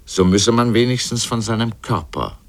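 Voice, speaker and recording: A man's voice: lowish voice, animated, normal speed. A man delivering scripted essayistic commentary in a literary radio piece, with dry, ironic and erudite phrasing.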